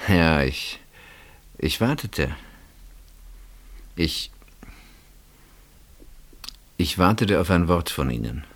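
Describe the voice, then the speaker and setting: Wistful married gentleman, low voice, halting reflective delivery, intimate radio drama. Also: lively intonation, full character voice. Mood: wistful, hurt. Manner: hesitant, halting, reflective, repeats words. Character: wistful married gentleman named Pierre, hesitant and regretful. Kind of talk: intimate German radio play dialogue.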